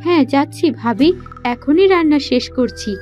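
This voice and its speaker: A woman's voice: high voice, lively intonation, normal speed, a little mellow, lo-fi sound, soft background music. A woman playing a meek, obedient young village girl in a cartoon fairy tale, polite and submissive. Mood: dutiful.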